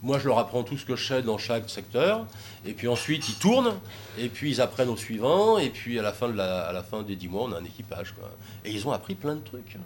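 A man's voice: mid-range voice, very animated, a little fast, a little hiss or hum. Rambling French man with fillers. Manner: rambling, frequent hesitations and fillers. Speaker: sailing educator for troubled youth. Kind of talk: informal talk with audience questions.

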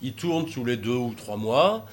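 A man's voice: medium pitch, big pitch swings, moderate pace, slight background noise. A man talking informally about taking troubled youths sailing, rambling with many hesitations and fillers. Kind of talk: informal talk with audience questions.

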